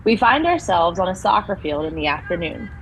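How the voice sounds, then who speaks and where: A woman's voice: on the low side, very animated, fairly quick, a little hiss or hum, rough recording. A woman narrator reading stage directions for an audio play as scripted narration.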